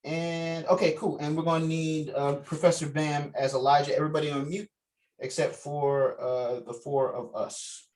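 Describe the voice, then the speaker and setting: A man with a fairly high voice runs a table read as its director. He is casual and jokey, stopping and repeating himself as he directs, and sounds a little far from the mic on a video call. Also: little pitch movement, darkish tone. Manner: halting, repeats phrases as he directs.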